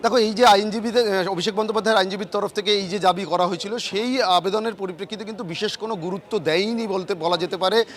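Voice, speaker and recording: A man's voice: high-pitched, fairly even intonation, fast. A man with a West Bengal Bengali accent giving an emphatic, repetitive live news report from the field, stumbling now and then. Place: outdoors outside a court complex.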